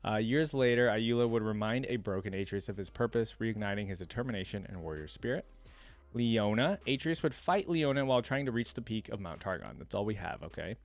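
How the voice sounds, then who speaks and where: A man's voice: on the low side, lively intonation, fairly quick, dry recording. A man reading aloud in the style of a streamer's reaction, with casual, offhand commentary. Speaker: streamer reacting to game lore.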